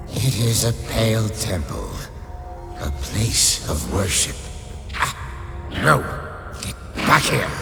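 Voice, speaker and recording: A stern undead lich, commands in a very low voice that swings widely in pitch. The delivery is terse and imperious, in the manner of a dark fantasy radio play, with music clearly present behind it. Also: male voice, sing-song, slow pace, long pauses, full character voice. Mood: stern, commanding. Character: commanding undead lich speaking into a vampire's mind.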